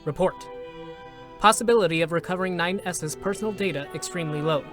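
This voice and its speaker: A man voicing a formal, impassive support robot, with clipped, procedural, deadpan delivery in a dramatic reading. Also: high-pitched, quick pace, lightly acted. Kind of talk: dramatic reading of game characters. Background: music.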